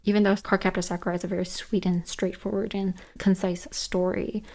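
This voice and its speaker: A woman with a tired, hoarse voice talks casually and earnestly through a list of favorites, repeating words for emphasis. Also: mid-range voice, level tone, a little fast, darkish tone. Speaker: book reviewer, channel host. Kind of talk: casual list-style book and manga chat.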